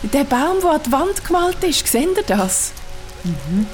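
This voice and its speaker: A woman's voice: high-pitched, very animated, measured pace, darkish tone, soft background music. An actress playing an observant, sceptical young woman among old friends in an audio drama, questioning and nitpicking. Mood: sceptical, curious.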